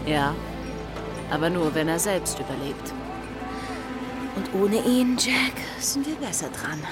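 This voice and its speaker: A woman's voice: lowish voice, sing-song, takes it slowly. An actress playing a bold, level-headed female pirate in a radio drama, speaking in an assertive, pointed and playful way. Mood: intimate, proud. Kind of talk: German radio drama acting. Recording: studio radio play with music behind.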